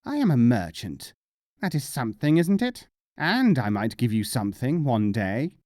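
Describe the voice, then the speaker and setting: A man's voice: mid-range voice, sing-song, normal speed, darkish tone, no room sound, studio quality, lightly acted. A man voicing a wry, self-assured wise-fool mullah in a storybook reading. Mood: indignant, pompous.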